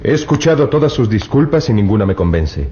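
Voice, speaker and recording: A man's voice: lowish voice, sing-song, a little fast, warm tone, lo-fi sound, a little hiss or hum. An actor playing a bold, self-assured young male adventurer.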